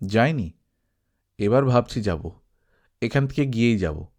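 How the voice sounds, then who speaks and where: A man's voice: medium pitch, lively intonation, a little slow, a little mellow. A man voicing an earnest young husband in love in storybook dialogue, pressing and mock-offended. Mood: sulky insistence.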